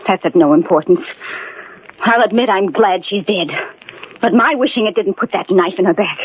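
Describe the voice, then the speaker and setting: A woman's voice: medium pitch, normal speed, slightly rough, natural voice. An actress playing a scornful, jealous jilted woman, biting and melodramatic in 1940s radio mystery style. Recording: muffled, noisy vintage 1940s radio recording.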